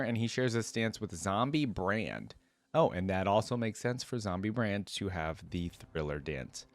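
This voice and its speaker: Low-voiced man, close and dry reaction commentary. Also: no echo. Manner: thinking aloud, conversational. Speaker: reaction video host and streamer. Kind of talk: casual reaction commentary with reading aloud from web pages.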